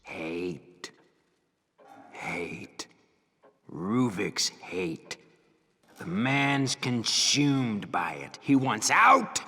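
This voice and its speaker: A brooding, intense video game character. He speaks very slowly and emphatically, with a raspy, forceful edge. The voice rings in a long reverb. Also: on the low side, very animated, heavily acted. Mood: intense, bitter. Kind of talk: dramatic video game acting.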